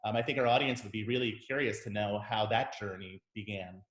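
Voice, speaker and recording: A man, a conductor, hosting a casual interview in a measured, friendly way with many pauses and fillers. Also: lowish voice, a bit bright. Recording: roomy echo.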